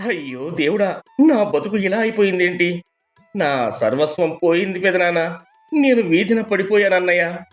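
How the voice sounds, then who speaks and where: A man's voice: high voice, normal speed, faint music behind, close to an everyday voice. Man acting as a theatrical, pleading young husband. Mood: wailing, desperate. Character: scheming young husband faking tearful, desperate ruin. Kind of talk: dramatized moral story acting.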